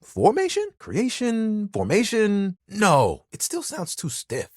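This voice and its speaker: A man's voice: sits high, huge pitch swings, takes it slowly, darkish tone, slightly rough, no echo, studio quality, full character voice. A man voicing a young, easygoing, sensitive living towel in a video game, talking casually and earnestly with some hesitant, theatrical turns. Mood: enthusiastic, crafty. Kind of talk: video game character voice acting.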